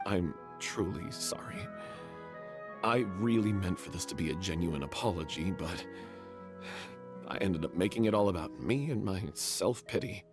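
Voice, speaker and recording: A man's voice: sits low, moderate pace, music in the background. An actor playing a guilt-ridden young male troupe member, remorseful and self-pitying. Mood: ashamed.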